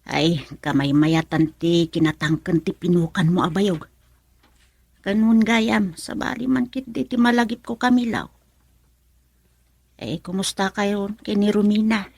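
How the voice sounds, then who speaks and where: A woman's voice: medium pitch, measured pace, vocal fry, a little mellow, husky, no echo. An actress with a Filipino accent playing a nagging, scheming mother in a radio drama. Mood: pushy, insistent. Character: nagging, scheming mother pushing her son to marry.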